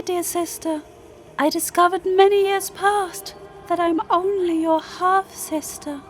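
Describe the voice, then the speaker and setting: A woman playing a serene, lofty female moon spirit in an audio drama, speaking formally and solemnly over music. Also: very high-pitched. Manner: formal, solemn, measured storytelling. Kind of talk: audio drama character monologue. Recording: music behind the voice.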